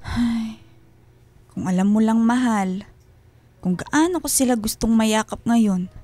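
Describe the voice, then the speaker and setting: Higher-pitched, plaintive homesick mother. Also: slow pace, mellow, dry recording. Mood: longing, sad. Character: loving, homesick mother working abroad, talking to her husband. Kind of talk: emotional radio drama dialogue.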